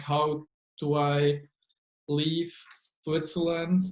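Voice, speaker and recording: A man in his thirties interviewing over a video call from a room with bookshelves, asking long, halting questions with many fillers. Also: fairly high pitch, reverberant, low-quality recording. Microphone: webcam video call.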